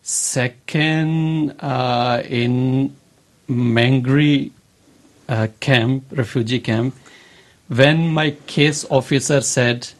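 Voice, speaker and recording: A man speaks on a radio interview, unhurried and deliberate. His tone is mid-pitched, and his phrasing is formal, earnest and often halting. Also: steady tone. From Pakistan. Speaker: radio guest. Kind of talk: interview answers. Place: radio studio.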